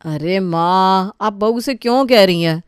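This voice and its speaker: A man playing a smug older brother in a family comedy story, with sarcastic, drawn-out teasing. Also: sits high, clear, resonant tone, dry recording, clean studio sound. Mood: mocking.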